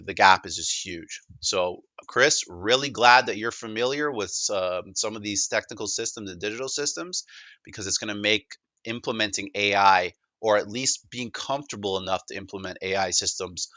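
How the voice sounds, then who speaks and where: A man's voice: on the low side, normal speed, bright, forceful tone, no echo. A Canadian man presenting a technology demo in a casual, conversational way, often stammering and repeating words as he goes.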